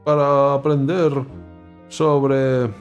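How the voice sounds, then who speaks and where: A man's voice: medium pitch, flat delivery, drawn-out words, muffled recording, soft background music. A man reading the lines of an earnest young male student abroad who feels wronged, in an indignant tone.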